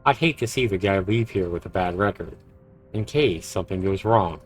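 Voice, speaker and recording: Visual novel lead: a low, wry, introspective man, guilt-ridden, with music underneath. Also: expressive, moderate pace. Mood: pensive. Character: brooding, guilt-ridden man with a dry charm. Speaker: narrating protagonist. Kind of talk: visual novel voice acting, introspective narration and dialogue.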